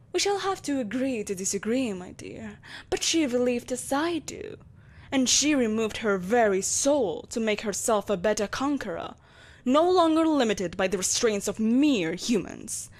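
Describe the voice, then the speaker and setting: A woman voicing an ancient, ageless sorceress empress in an audio roleplay, telling her tale in a grandiose, deliberate, condescendingly polite manner. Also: on the high side, animated, a little mellow. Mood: condescending, impassioned. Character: ancient, ageless evil sorceress empress, haughty, ruthless, possessive. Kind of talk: theatrical dramatic monologue, audio roleplay.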